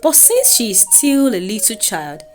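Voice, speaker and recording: A woman's voice: on the high side, huge pitch swings, a little slow, darkish tone. A woman with a Nigerian accent narrating a scripted folktale in a dramatic storytelling style. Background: music.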